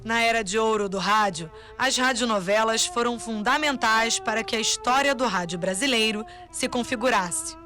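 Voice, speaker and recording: A woman giving scripted narration for a radio documentary in a measured, formal way. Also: on the high side, over music.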